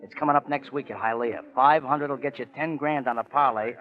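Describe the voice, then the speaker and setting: A man's voice: mid-range voice, fairly quick, natural voice. A man playing a brash racetrack hustler, breezy, slangy and insistent, in 1940s radio drama style. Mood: sly, persuasive. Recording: muffled old radio recording.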